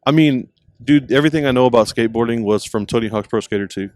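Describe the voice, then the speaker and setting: A man's voice: mid-range voice, fast talker, darkish tone, no echo, studio quality. A man hosting a podcast, chatting in a friendly, storytelling way at an outdoor skate park, wearing a headset. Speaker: podcast host. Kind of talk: casual chat. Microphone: mic with headset monitoring.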